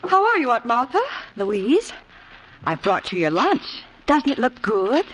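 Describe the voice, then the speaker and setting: A woman's voice: fairly high pitch, sing-song, a little slow, breathy edge, full character voice, poor audio. A woman playing a conniving younger niece, nervy and falsely sweet, in an old-time radio horror drama.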